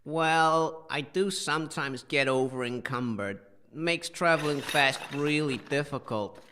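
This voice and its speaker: A man playing an eccentric, friendly junk-collecting wanderer in a comic audio drama, affable and quietly proud as he rambles. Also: fairly high pitch, measured pace, slight background noise. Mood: helpful, chatty.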